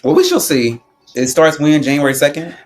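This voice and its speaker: A man co-hosting a casual chat show, colloquial and animated as he gives opinions and tells stories. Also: on the high side, normal speed, faint music behind. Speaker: podcast co-host.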